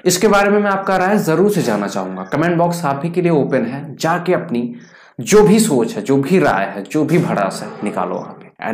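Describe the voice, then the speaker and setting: A man's voice: mid-range voice, slight background noise. A man in his twenties giving opinionated cricket commentary to camera, emphatic and fond of rhetorical questions. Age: 20s. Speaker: cricket news YouTuber. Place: small indoor room. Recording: echoey room.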